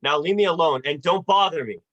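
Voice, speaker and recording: Young man as a flustered, earnest husband, highish voice. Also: fairly quick, fairly bright tone, lo-fi sound, natural voice. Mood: indignant. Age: young adult. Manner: stammering, folksy, earnest. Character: earnest, flustered, hot-headed young small-town husband. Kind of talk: 1940s radio drama acting.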